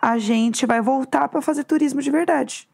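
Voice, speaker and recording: A hoarse woman with a gravelly, slightly high voice chats casually as a podcast co-host. She tells her stories with lots of energy and acts out little quotes. Her voice sounds strained and worn. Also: moderate pace, a little mellow. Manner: chatty, storytelling, acts out quotes. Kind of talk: casual chat.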